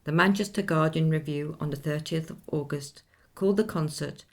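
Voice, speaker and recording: An actress playing a woman who recounts a composer's life in measured, informative radio-play narration. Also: deep voice. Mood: composed.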